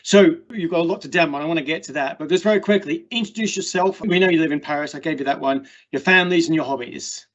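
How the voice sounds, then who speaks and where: A man's voice: fairly high pitch, fast talker, hardly any pauses. A man hosting an online meetup, chatting casually and ramblingly as he interviews a guest over a video call. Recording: dull, muffled call audio.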